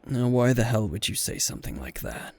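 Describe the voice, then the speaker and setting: A man playing a gruff, weary, tipsy hero teacher who is dryly flirtatious, in an intimate, deadpan ASMR roleplay with a gravelly voice. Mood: flirtatious, soft. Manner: low-key, dry, deadpan, unhurried.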